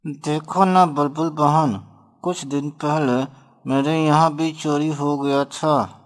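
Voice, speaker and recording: A man's voice: a little high, fairly even intonation, slow pace. A man voicing a friendly, worried pigeon neighbour in a children's cartoon, with a plain delivery.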